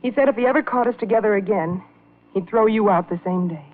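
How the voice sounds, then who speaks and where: An actress playing an anxious, unhappy wife of a jealous husband, pleading in old-time radio melodrama style. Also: female voice, medium pitch, fast, lightly acted. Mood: worried. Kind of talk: 1940s-50s radio melodrama acting. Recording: vintage radio, thin phone-like band.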